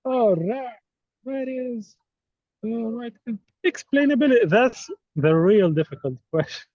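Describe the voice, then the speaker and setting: A man's voice: extremely high pitch, lively intonation, a little slow, darkish tone, no echo. A native Arabic-speaking man teaching an online course, running a live quiz in a playful, teasing way. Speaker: course instructor. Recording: dull, dry online call audio.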